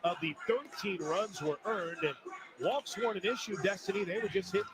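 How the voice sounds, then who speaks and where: A man's voice: sits high, normal speed, heavy background noise. A man calling the game as a play-by-play announcer, upbeat and polished, reading out statistics. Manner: upbeat, stat-heavy, polished. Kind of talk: broadcast sports commentary.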